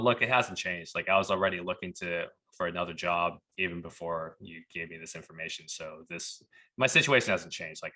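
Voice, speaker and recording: Deep-voiced man telling his story. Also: pushed and bright. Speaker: podcast guest; pharmacist turned leadership coach. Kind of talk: conversational storytelling.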